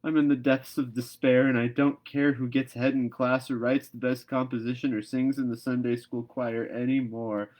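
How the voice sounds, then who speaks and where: A man's voice: medium pitch, level tone, moderate pace, hardly any pauses, a little mellow, pitched above the performer's own voice, lightly acted, low-quality recording. Despairing young girl, acted by a man, storybook character, melodramatic, pleading, theatrical delivery.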